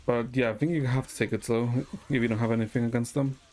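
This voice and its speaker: A man's voice: medium pitch, fairly even intonation, fast talker, a little mellow. A man streaming a game and chatting casually with viewers, thinking aloud hesitantly with some mild swearing. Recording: music playing behind the voice. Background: music.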